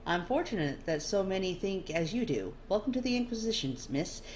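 Woman reading as calm, easygoing man, faint noise. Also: medium pitch, moderate pace, pitched above the performer's own voice, close to an everyday voice. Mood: calm, friendly. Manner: calm, easy. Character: calm, easygoing, average-looking tall human man. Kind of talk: storybook character dialogue.

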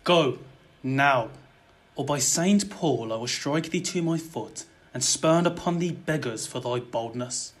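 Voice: mid-range voice, a little slow, a little hiss or hum, lightly acted. An actor playing a mockingly sweet-tongued killer in a theatrical verse audio drama. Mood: threatening. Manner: theatrical, taunting.

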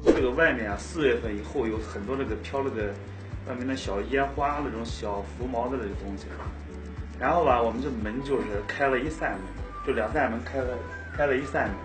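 A man's voice: medium pitch, brisk. A young man, a restaurant owner, talking casually and with some hesitation in a seated interview inside his restaurant. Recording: interview with music underneath.

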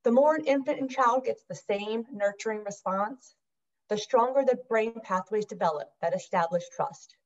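30s woman hosting, mid pitched voice, scripted intro, dull laptop call audio. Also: moderate pace. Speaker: program manager hosting an introduction. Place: small room indoors at home. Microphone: computer microphone on video call. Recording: muffled video call audio.

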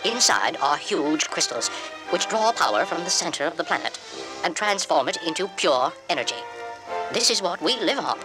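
A tiny furry alien creature in a storybook. It is proud, huffy and formal, with swooping intonation over clear background music. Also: female voice, on the low side, very animated, normal speed, low-quality recording. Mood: dignified. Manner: pompous, formal. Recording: music under the voice.

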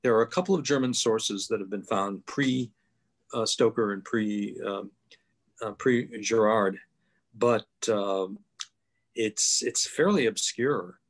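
An older man on a video call with a headset mic, explaining things thoughtfully with many pauses and fillers. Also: fairly low pitch. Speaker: guest author. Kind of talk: online panel discussion. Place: home room, video call.

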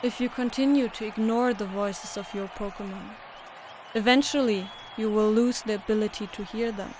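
A woman voicing a proud, solemn young woman monster trainer in a manga dub, speaking in an earnest, measured, theatrical way. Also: mid-range voice, steady tone, a crowd in the background, noisy background, lightly acted. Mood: grave, pleading. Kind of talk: dramatic manga dub acting.

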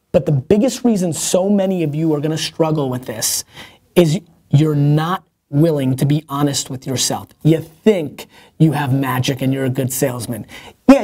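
A man's voice: fairly high pitch, dark tone. A man in his thirties hosting a casual advice show, answering viewer questions bluntly and emphatically from a seated spot in an open-plan office. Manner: emphatic, fast, blunt, gestures a lot.

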